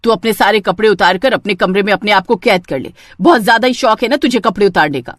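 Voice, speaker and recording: A scolding older village mother-in-law played by a woman storyteller speaks in sharp, reproachful phrases. Her pitch swings about with exasperation, and the sound is dry and close. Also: lively intonation, fast, dry recording, close to an everyday voice. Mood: sarcastic.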